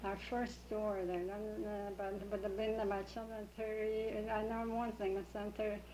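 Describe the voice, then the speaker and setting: An older woman who grew up in the Russian Empire and speaks Yiddish as her first language, telling her story slowly in an oral history interview with many pauses and restarts. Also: medium pitch, level tone, dark tone, slight background noise, poor audio. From Russian Empire, Ukraine region.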